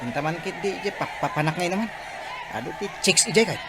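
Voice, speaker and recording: Man playing chummy gambler friend, Ilocano accent, rapid speech, audio drama, clear background noise. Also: high voice, expressive. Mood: chummy, excited. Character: easygoing gambling buddy egging his friend on.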